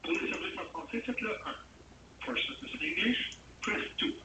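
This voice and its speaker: A higher-voiced man delivers a formal automated phone menu with lively intonation. The telephone recording sounds distant and noisy. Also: normal speed, reverberant. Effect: telephone line.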